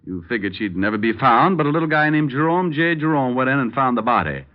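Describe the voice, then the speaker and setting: A man's voice: mid-range voice, lively intonation, fast, natural voice. A man acting a wisecracking, unflappable private detective in an old-time radio crime drama, with wry, flippant delivery. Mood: cool, assured. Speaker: lead actor and narrator. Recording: dull vintage radio recording.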